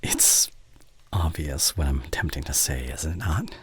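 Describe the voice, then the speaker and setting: A man's voice: extremely low pitch, measured pace, husky, full character voice. A man voicing an ancient, courtly, besotted vampire in a formal, hesitant, half-whispered ASMR roleplay monologue, close on the mic. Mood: yearning, obsessed. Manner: formal, old-fashioned, hesitant phrasing. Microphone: close studio condenser mic.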